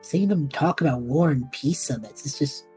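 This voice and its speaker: A woman playing a restless, idealistic young male traveler with a husky voice in an audio drama, casual and earnest. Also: sits low, moderate pace, mellow, soft background music. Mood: troubled. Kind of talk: scripted audio drama acting.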